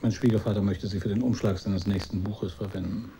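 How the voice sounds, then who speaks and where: An actor playing a detached, brooding narrator in a literary radio drama, deliberate and at times hushed. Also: male voice, lowish voice, fairly even intonation, vocal fry, long reverb tail, a little hiss or hum. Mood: subdued. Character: detached, brooding male narrator of a broken romance.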